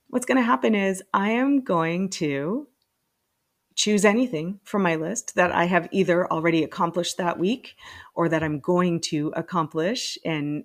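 A woman in her late forties hosting her own podcast, talking candidly and with self-deprecating humor, laughing often. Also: low voice. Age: 40s. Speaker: podcast host. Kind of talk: personal solo podcast monologue.

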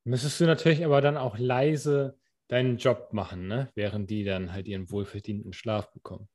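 A man's voice: lowish voice, fairly even intonation, brisk, a little mellow. Playful man bantering on a podcast. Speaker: podcast co-host. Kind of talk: casual chat. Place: home kitchen open to the living room.

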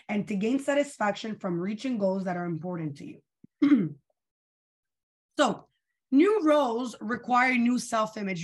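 A young woman leading a live online coaching session, energetic and asking her audience questions, her voice a little tired and worn. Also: a little high. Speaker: coach leading a weekly training call.

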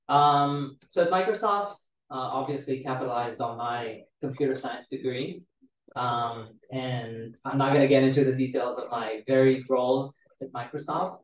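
Man, roomy distant sound. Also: medium pitch. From Israel. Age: 40s. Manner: relaxed, storytelling, many "uh" fillers. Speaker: guest. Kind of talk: casual podcast interview. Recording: roomy, distant mic with faint noise.

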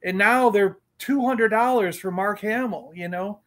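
A man's voice: high-pitched, a little slow, fairly bright tone. A middle-aged man in casual chat, talking in a rambling, emphatic way and repeating himself. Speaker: collector and panelist on a fan livestream.

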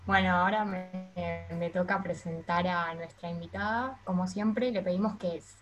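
A woman's voice: lowish voice, fairly even intonation, normal speed, lo-fi sound, soft background music. Argentine woman hosting a podcast. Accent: Rioplatense Spanish. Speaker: podcast host.

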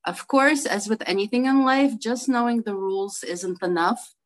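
A woman's voice: fairly high pitch, normal speed. A woman from Brooklyn, co-hosting a video call meetup in a friendly, informal way. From Brooklyn, New York. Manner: friendly, informal, with fillers and restarts. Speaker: co-host.

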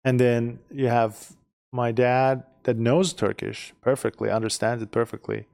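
A man's voice: medium pitch, steady tone, a little slow, gravelly, a little mellow. A middle-aged man from Iran, chatting casually as a podcast guest, often repeating words while he searches for phrasing. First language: Persian. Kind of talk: casual conversation.

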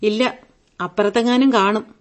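Actress as a high-voiced, haughty young wife. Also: female voice, steady tone, moderate pace, close to an everyday voice. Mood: defiant, scornful. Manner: coquettish, haughty, drops in English phrases. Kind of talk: Malayalam radio play acting.

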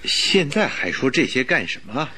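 An actor playing an exasperated but loyal doctor in a radio drama, with a protesting, questioning manner. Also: male voice, mid-range voice, big pitch swings, moderate pace, bright, forceful tone. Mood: exasperated, concerned. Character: exasperated, loyal male doctor, the detective's friend.

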